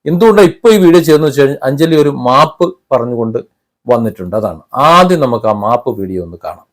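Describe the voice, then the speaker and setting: A man giving opinion commentary in a halting, emphatic, critical manner. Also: mid-range voice, lively intonation. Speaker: commentary vlogger.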